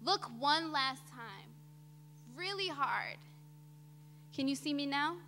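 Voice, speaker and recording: A young woman performing a theatrical spoken-word monologue on stage, with deliberate, dramatic phrasing that swells emphatically and drops quiet. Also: sits high, animated. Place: indoor auditorium stage before an audience. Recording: reverberant hall, faint background noise.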